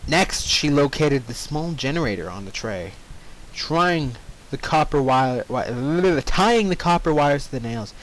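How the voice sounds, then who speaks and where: A man's voice: mid-range voice, lively intonation, a little slow, low-quality recording. A man reading fan fiction aloud with informal, jokey asides, easily sidetracked from the narration. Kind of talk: story read-aloud with casual ad-libbed commentary.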